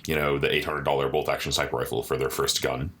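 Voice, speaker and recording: A man with a deep voice co-hosting a podcast in a studio, talking earnestly in casual chat and often adding "you know" and "right?". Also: little pitch movement, fast talker, fairly bright tone. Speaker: podcast co-host.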